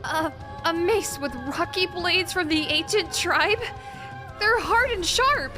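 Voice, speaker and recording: A woman acts out a bookish young female explorer with a high voice. She talks in wordy, analytical bursts and stammers when rattled. Music plays clearly behind her in this audio drama. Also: slow pace. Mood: panicked. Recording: music under the voice.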